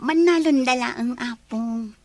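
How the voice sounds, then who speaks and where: A woman playing a gentle, humble young girlfriend with an Ilocano accent in a radio drama. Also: a little high, unhurried, dry recording. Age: young adult. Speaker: girlfriend character.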